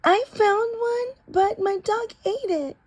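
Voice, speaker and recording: A woman's voice: very high voice, takes it slowly, a little mellow, voice pushed up. A woman voicing a whiny young schoolchild in a storybook class, exclaiming and complaining. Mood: making excuses.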